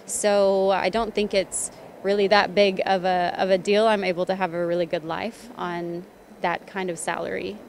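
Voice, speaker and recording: A woman's voice: medium pitch, level tone, normal speed, a little hiss or hum. A woman from the United States speaking confidently and matter-of-factly in a street interview. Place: city street outdoors.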